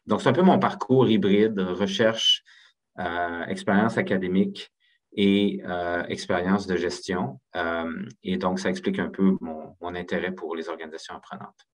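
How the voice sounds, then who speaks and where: A man in his fifties speaks with a Québécois French accent in a fairly low voice. He presents as an executive at an academic seminar. His delivery is measured and semi-spontaneous, with hesitations and repeated words as he builds each point. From Quebec, Canada.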